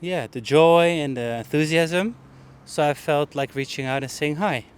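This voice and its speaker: A man's voice: on the high side, normal speed, clean tone. A man hosting a casual interview podcast on an outdoor bench, hesitant and jokey, restarting sentences often. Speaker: podcast host. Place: public bench by a harbour outdoors.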